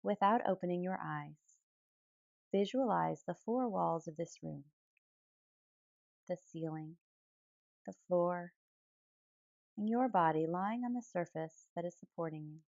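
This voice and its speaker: A woman guiding a yoga nidra meditation in calm, gentle phrases with pauses between them. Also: on the low side, creaky, dry recording, lo-fi sound. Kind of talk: guided relaxation meditation, soothing instruction.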